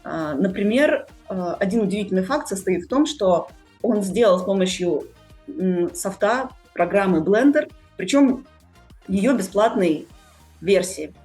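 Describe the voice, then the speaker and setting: A woman hosts a podcast in a conversational style. Her voice sits at an ordinary middle pitch, with soft music playing faintly behind it. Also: lively intonation, unhurried. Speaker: podcast host.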